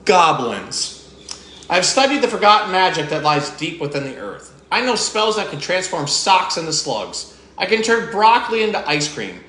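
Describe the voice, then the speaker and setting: A man's voice: high voice, very animated, moderate pace, bright, forceful tone, echoey room, slight background noise, full character voice. A man reading aloud as a grumpy, jealous male goblin who complains indignantly. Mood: grumbling.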